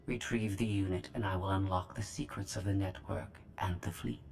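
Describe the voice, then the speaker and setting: An actor voicing a cold, calculating droid AI with a filtered, machine-like voice in a game cutscene. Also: male voice, low voice, normal speed, gravelly, a little hiss or hum. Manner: flat, precise, machine-like.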